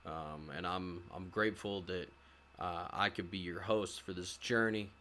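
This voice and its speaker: A man hosting a gaming channel, giving casual, halting game commentary to his viewers with some loose swearing. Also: low-pitched, steady tone, sharp tone. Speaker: host of a gaming walkthrough channel.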